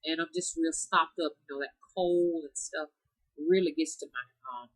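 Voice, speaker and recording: A woman's voice: low voice, normal speed, dry recording, rough recording. A woman, chatting casually to her viewers in a folksy way, her voice nasal and congested from sinus trouble. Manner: folksy, calls herself Mama, addresses viewers as y'all. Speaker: spiritual practitioner vlogger.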